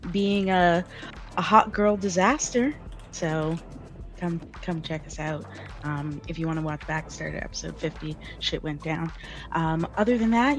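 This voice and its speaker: A woman with a lowish voice introduces herself with giggles and self-deprecating jokes, hesitating often. Faint music plays behind her. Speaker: player. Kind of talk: casual stream outro.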